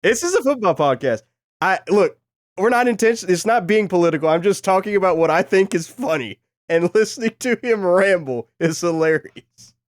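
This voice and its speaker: A hoarse man hosts a casual sports podcast, joking about himself as he goes. His voice sits a little higher than most men's, and the recording is close and dry. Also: expressive, normal speed, no echo. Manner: joking, self-deprecating. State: hoarse from a cold. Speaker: podcast host. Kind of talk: casual chat.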